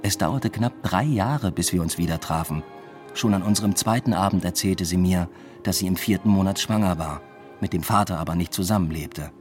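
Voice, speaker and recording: Male actor, deep-pitched weary academic, introspective, understated radio drama narration, reflective. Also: a little fast, darkish tone, soft background music, natural voice. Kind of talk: radio drama first-person narration and dialogue.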